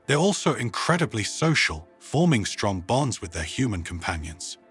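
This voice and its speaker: Guy reading as earnest woman presenter in an audio story, explaining stuff, lively pitch, bit husky. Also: moderate pace, soft background music, heavily acted. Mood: explanatory. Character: earnest woman presenting her pet to an audience.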